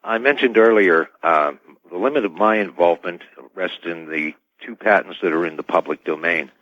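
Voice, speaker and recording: A man answering interview questions as an expert guest on radio, measured and guarded in his wording. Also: deep voice, a bit bright, no echo. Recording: dull band-limited radio sound.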